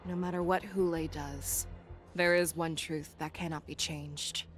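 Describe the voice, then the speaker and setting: A composed warrior general for a video game, wise and authoritative. She speaks in a measured way in a slightly low voice, over soft music. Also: steady tone. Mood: calm, calculating.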